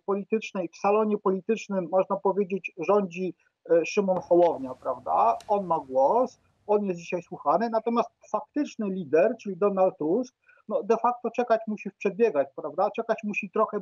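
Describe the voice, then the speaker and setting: A middle-aged man giving political commentary as an interview guest on a video call, speaking haltingly with repeated words and questioning tags. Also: sits high, darkish tone, no echo. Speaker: guest expert, political science professor. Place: book-lined room at home, indoors. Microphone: earphone headset on a video call. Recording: dull, muffled video-call audio.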